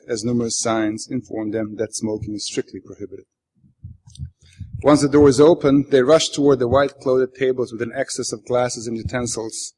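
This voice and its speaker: Deadpan man with a Bosnian accent. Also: medium pitch, rough recording. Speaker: author reading his own work. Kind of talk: literary reading aloud to an audience.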